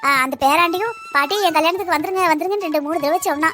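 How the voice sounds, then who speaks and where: A high, quick voice of a woman playing an elderly village grandmother in a cartoon. She rambles fondly in homely speech with a southern Tamil Nadu accent. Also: sharp tone, faint music behind. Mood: chatty. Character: old village grandmother, chatty and sentimental. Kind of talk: Tamil comedy cartoon dialogue.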